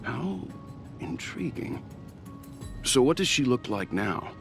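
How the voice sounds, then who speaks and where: A man voicing a calm, curious, middle-aged scholarly gentleman in a video game dub, measured and dryly amused. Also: mid-range voice, gravelly, soft background music, heavily acted. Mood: intrigued.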